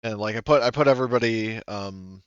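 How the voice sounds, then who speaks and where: A man's voice: lowish voice, fairly quick, a bit bright, clear, resonant tone, dry recording, highs cut off. A man running a tabletop game on a voice call, rambling and full of fillers and restarts. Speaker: game master.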